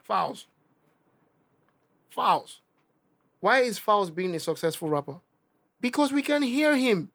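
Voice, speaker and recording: A young Nigerian man with a Nigerian accent, a rapper talking casually in an interview, animated and emphatic, often repeating himself. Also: fairly high pitch. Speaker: rapper guest.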